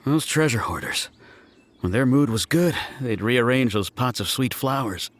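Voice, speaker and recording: Man acting genial, lively antiques trader. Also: mid-range voice, moderate pace, lightly acted. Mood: weary, grateful. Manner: polite, salesman-like, theatrical. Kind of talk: animated video game voice acting.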